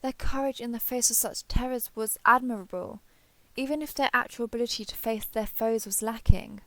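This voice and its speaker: A woman's voice: a little high, a little fast, a bit bright, no echo. A woman voicing a proud, ancient female dragon in a fantasy roleplay audio, with formal, haughty, archaic phrasing. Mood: fond, reflective.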